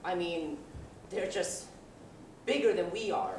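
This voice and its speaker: A young woman acting as an anxious, suspicious little boy in a student stage comedy, speaking haltingly. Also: fairly low pitch, crowd noise. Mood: uneasy, earnest. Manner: halting, repeating words, deadpan objecting. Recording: echoey stage, distant mic, noisy.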